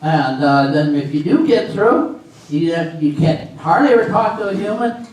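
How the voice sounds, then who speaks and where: A man playing a grumpy, forgetful husband in a comic readers theater, halting and exasperated as he grumbles. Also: fairly high pitch, animated, a little mellow, lightly acted. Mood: grumbling. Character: grumpy, befuddled, forgetful husband fighting phone menus. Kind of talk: readers theater comedy acting. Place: live readers theater stage. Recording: echoey hall, distant mic, noisy.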